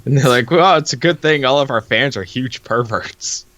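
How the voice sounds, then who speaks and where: A man's voice: mid-range voice, moderate pace, a bit bright, dry recording, lo-fi sound. A man co-hosting with casual, joking commentary and a crude, wisecracking manner. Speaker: co-host of a comic read-along video.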